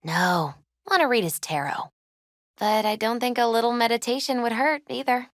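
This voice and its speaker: A woman voicing a gentle, mystical young tarot reader in a video game, soft-spoken, measured and earnest, with a foreboding manner. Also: fairly high pitch, no echo, studio quality, natural voice. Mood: casual, caring. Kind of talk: video game character dialogue.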